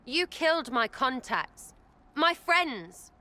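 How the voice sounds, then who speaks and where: A woman's voice: high-pitched, very animated, takes it slowly, sharp tone, heavily acted. An actress with an English accent playing a cheeky, blunt, hot-tempered young female rogue in a video game. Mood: indignant. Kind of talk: video game cutscene acting.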